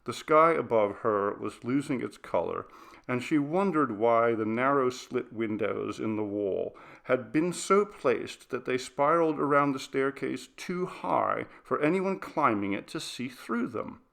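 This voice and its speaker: Gravelly-edged man narrating a ghost story. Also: medium pitch, expressive, measured pace, a bit bright. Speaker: audiobook narrator.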